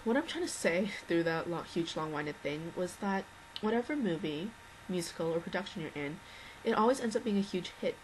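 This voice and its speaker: Earnest young woman with a low voice. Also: lively intonation, brisk, hardly any pauses, a little hiss or hum, poor audio. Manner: rambling, earnest, many restarts and fillers. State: slightly worn-out voice. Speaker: fan addressing a singer. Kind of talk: unscripted video message.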